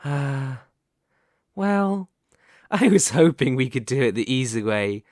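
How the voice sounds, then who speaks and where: A man's voice: medium pitch, very animated, a little mellow, no room sound, studio quality. A man playing a reborn demon king in a scripted ASMR roleplay, smugly menacing, possessive and seductive, with a theatrical, condescending delivery. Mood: feigned disappointment. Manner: theatrical, deliberate, condescending, grandiose.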